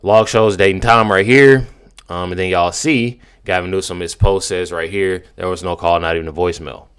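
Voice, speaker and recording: An American man doing casual political commentary as a video host, in an emphatic, slangy, halting way with many repeats. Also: low voice, steady tone, a bit bright, clean tone.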